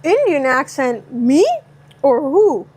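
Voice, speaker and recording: Bubbly high-voiced young Californian woman. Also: animated, takes it slowly, darkish tone. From Southern California. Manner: bubbly and chatty, reading out viewer comments. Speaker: vlogger cooking live and answering chat. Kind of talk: casual live-stream chat. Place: home kitchen.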